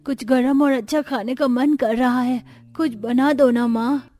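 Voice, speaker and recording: A woman's voice: high voice, steady tone, measured pace, dark tone, no echo. A woman voicing a poor, hungry child in a cartoon, whining and plaintive. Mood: whining, miserable. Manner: plaintive, whiny. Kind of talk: cartoon voice acting.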